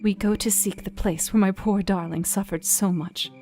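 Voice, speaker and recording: A woman's voice: medium pitch, fairly even intonation, normal speed, warm tone, faint music behind, natural voice. An actress playing a devout, troubled young Victorian wife, reading her journal in an earnest, reflective, formal way for a radio play. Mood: anguished, prayerful. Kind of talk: dramatic audio journal reading.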